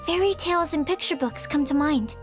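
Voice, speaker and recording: A woman's voice: sits high, brisk, music in the background, lightly acted. A woman voicing a shy, earnest, bookish young alchemist in an anime fantasy game, polite and hesitant. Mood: thoughtful.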